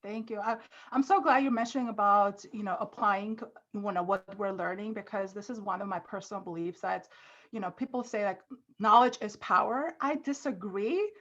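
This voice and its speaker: A woman's voice: medium pitch, moderate pace, fairly bright tone, dull audio. A woman from Armenia in her thirties, telling her story as a podcast guest in a chatty way, full of "like" and "you know". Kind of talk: casual interview storytelling.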